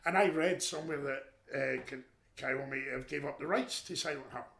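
A man with a deep voice telling stories in a rambling, animated way during a casual chat. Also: normal speed. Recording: echoey room.

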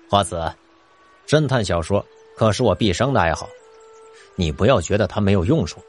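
An actor playing an earnest, expressive middle-aged police detective who loves mystery novels, in an audio drama. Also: male voice, low-pitched, unhurried, a little hiss or hum. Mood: earnest, defensive. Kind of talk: multi-cast audio drama acting.

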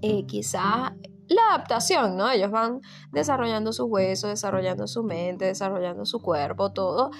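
A woman from Venezuela with a Venezuelan accent, telling a personal story in a reflective way, pausing and repeating words as she thinks. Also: mid-range voice, gravelly. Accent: Venezuelan Spanish. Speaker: vlogger. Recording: guitar music underneath.

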